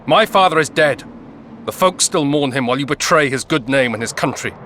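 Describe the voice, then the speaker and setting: An actor playing a proud, resolute young prince in a video game, with a formal, measured, haughty manner. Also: male voice, mid-range voice, expressive, pushed and bright, slight background noise. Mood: cold anger. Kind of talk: dramatic video game acting.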